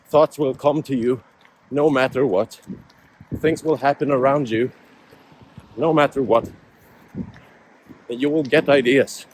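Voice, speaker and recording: A man's voice: medium pitch, unhurried, pauses often, a little mellow, a little hiss or hum, rough recording. A man, a vlogger musing aloud in casual talk about life and goals. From Sweden. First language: Swedish. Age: 20s. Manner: reflective, musing, self-motivational. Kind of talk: casual vlog talk to camera.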